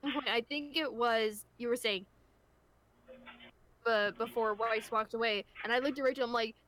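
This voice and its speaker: Woman w a kinda high voice, just chatting casually in a group, voice actor type. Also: steady tone, moderate pace, a bit bright, slight background noise, rough recording. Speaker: voice actor on a web series livestream.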